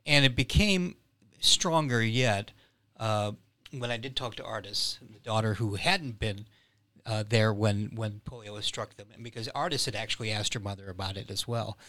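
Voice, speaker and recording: Bright-voiced man in podcast conversation. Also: fairly low pitch, moderate pace, dry recording. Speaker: playwright guest.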